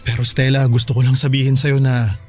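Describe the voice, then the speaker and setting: A man acts out an earnest young father in a Filipino radio drama. His mid-range voice is halting and pleading, full of sincere worry. Soft music plays behind him. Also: medium pitch, little pitch movement, close to an everyday voice. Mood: earnest, reassuring. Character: earnest, anxious young father promising to provide.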